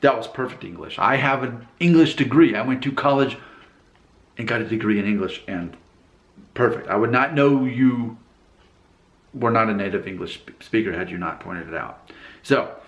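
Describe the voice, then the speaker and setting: A man's voice: mid-range voice, normal speed, reverberant. A man hosting his own channel, speaking to camera in a candid, emphatic, preachy monologue. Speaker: YouTube channel host. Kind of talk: casual talking-to-camera monologue.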